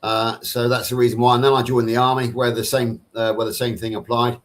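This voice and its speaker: A middle-aged Englishman with a plain Southern English accent talks casually on a live panel. His voice sits at an average pitch for a man. He answers in a wordy way, often circling back and rephrasing. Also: little pitch movement, fairly quick. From Somerset, England. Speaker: panel participant on a livestream. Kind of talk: casual live chat, answering viewers' questions.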